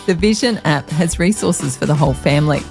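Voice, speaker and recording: Low, creaky-voiced woman presenter. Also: a little fast, a little mellow, faint music behind. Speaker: radio segment host. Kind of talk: scripted presenting.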